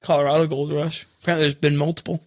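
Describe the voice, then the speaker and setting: A man with a slightly high voice talks as a podcast guest. He is conversational and casual as he shares bits of trivia. The sound is close and dry. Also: little pitch movement, racing, dry recording. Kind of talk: casual chat with read-out trivia facts.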